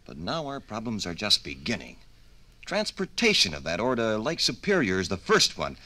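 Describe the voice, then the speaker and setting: A man's voice: fairly low pitch, wildly swooping pitch, normal speed, bright, forceful tone, heavily acted. A man playing a determined, commanding businessman in a 1950s radio drama, emphatic and persuasive. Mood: urgent, determined.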